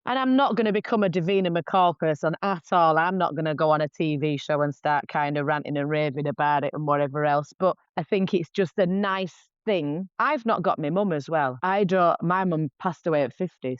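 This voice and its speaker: A woman's voice: low voice, normal speed, hardly any pauses, clear, resonant tone, no room sound. A woman, talking candidly as a podcast guest, chatty and frank with lots of restarts. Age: 40s. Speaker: podcast guest, face painter. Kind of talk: candid casual conversation. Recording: dull, dry lo-fi podcast audio.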